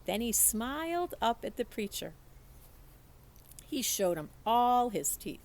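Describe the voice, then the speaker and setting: A woman reading a children's story aloud in an expressive, animated storytelling manner. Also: on the high side, takes it slowly. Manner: animated storytelling, acts out dialogue. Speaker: teacher reading a book aloud. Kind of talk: expressive children's audiobook-style read-aloud.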